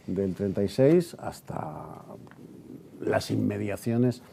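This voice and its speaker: Mellow-voiced man hosting a history programme. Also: lowish voice, lively intonation, takes it slowly, vocal fry, slight background noise. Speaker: radio programme host and interviewer. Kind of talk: introducing and interviewing a guest.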